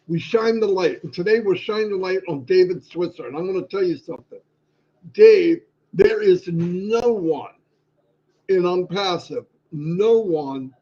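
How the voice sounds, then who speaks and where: A man's voice: sits high, dark tone, muffled recording. A man hosting a live online show, talking in an emphatic motivational way and repeating lines for stress. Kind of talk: motivational live-stream talk.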